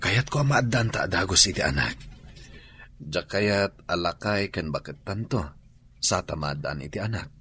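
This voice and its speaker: A man playing an earnest, low-voiced husband. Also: expressive, moderate pace, bright, forceful tone, a little hiss or hum. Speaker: husband working abroad. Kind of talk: Ilocano radio drama acting.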